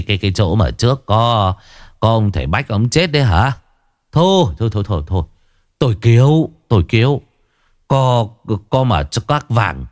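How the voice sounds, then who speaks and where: A man's voice: medium pitch, breathy edge, no echo, studio quality, pitched above the performer's own voice, full character voice. A man voicing a timid village fortune-teller who stammers and refuses in fright.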